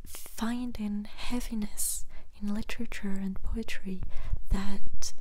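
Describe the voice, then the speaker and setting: Whispering, breathy woman reading softly. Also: mid-range voice, fairly even intonation, slow pace, rough recording. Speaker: ASMR creator reading poetry. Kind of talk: soft-spoken ASMR poetry reading and chat.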